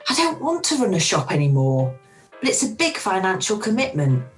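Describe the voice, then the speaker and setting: A woman playing an anxious, lively middle-aged restaurateur. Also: medium pitch, normal speed, lightly acted. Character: warm, anxious middle-aged restaurateur woman, self-doubting food lover. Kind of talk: amateur radio play acting. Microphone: video call. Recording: home video-call recording with music.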